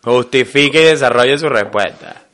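Un hombre con acento venezolano, copresentador de un podcast, que conversa en tono informal, bromista y lleno de jerga. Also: male voice, medium pitch, moderate pace. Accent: Venezuelan Spanish. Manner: joking, animated, full of slang. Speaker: podcast co-host. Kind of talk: casual chat.

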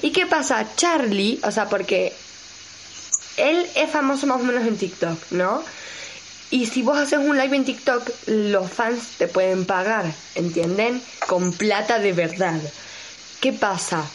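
Young female radio co-host, highish voice, slangy and rambling, slightly noisy recording. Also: expressive, a little slow. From Argentina. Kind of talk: casual group chat on a radio show.

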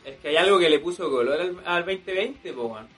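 Lively slangy young Chilean man. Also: a little high, fairly quick, faint music behind.

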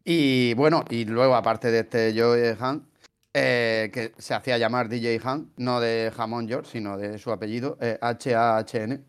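A man's voice: mid-range voice, steady tone, measured pace, clean tone. A man with a Spain Spanish accent hosting a podcast, telling a band's history in a chatty, jokey way and slipping in puns. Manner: jokey, chatty storyteller, repeats his puns. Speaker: podcast host. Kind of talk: casual podcast chat about a band's history.

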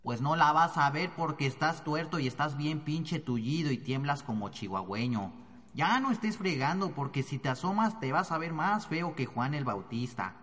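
A man's voice: on the high side, fairly bright tone. A man playing a mean, mocking, foul-mouthed convict with a Mexican accent, scornful and taunting, in a radio drama. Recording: noisy, long echoing reverb.

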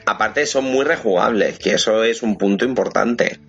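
Gravelly Spanish podcast host, informal man. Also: fairly low pitch, normal speed, fairly bright tone, soft background music. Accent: Spanish from Spain. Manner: informal, jokey, slangy. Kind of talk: casual video game podcast chat. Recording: muffled, lo-fi podcast audio.

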